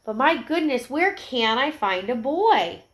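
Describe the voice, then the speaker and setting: Lady reading as a little wistful storybook puppy, polite and earnest, highish voice. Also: very animated, unhurried. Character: small, hopeful, wistful puppy looking for a boy. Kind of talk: children's storybook read-aloud.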